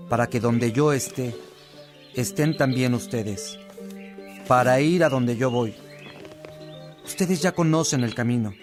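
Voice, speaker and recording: A man playing a practical monk who reads scripture in a radio drama, declamatory and deliberate. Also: medium pitch, lightly acted. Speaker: actor in a religious radio drama. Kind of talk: acted radio drama and solemn scripture reading.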